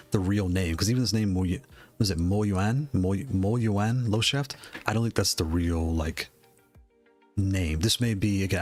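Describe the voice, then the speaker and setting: Deep-voiced guy streaming, rambly and chatty, voice getting worn out, faint music under him. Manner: rambling, shouts out chat viewers, laughs often. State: losing his voice. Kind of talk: casual live-stream chat.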